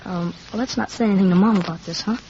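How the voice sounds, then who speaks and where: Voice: medium pitch, fast talker, a little mellow, close to an everyday voice. A performer playing an eager, chatty young boy with an informal, wide-eyed gee-whiz manner in a 1950s radio drama. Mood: friendly, eager. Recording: dull, noisy 1950s radio recording.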